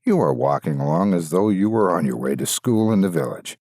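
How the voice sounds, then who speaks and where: Man voicing a sly, gravelly low wolf. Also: animated, fairly quick, darkish tone, no echo, clean studio sound, full character voice. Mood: wheedling. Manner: smooth-talking, wheedling, menacing. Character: sly, coaxing, hungry male wolf. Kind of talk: fairytale storybook reading.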